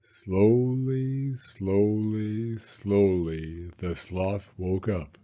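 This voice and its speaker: An older man reading a children's picture book aloud deliberately, with pauses between words. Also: lowish voice, fairly even intonation, dark tone, muffled recording. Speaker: storyteller.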